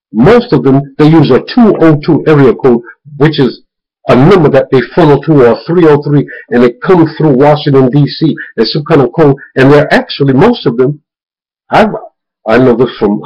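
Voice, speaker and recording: A man's voice: a little high, animated, moderate pace, breathy edge. Man giving an emphatic warning, dull recording. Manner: emphatic, repeats words for stress. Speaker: video blogger warning viewers about a phone scam. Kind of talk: informal spoken warning with retold phone conversations.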